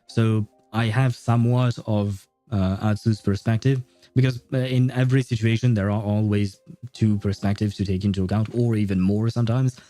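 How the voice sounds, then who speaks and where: A man with a soft, mellow tone chats casually to his stream viewers. His phrasing is halting and chuckly, and the close, dry sound sits over background music. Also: lowish voice, steady tone, no echo. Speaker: game livestreamer.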